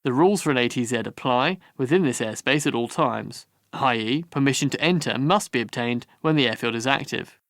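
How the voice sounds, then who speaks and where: A man reading scripted instructional narration for a training course in a measured, formal manner. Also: mid-range voice, a bit bright, dry recording, clean studio sound.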